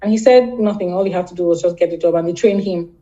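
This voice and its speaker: A Nigerian woman guest chatting casually in an interview, halting and repeating words, often laughing. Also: on the low side, mellow, lo-fi sound.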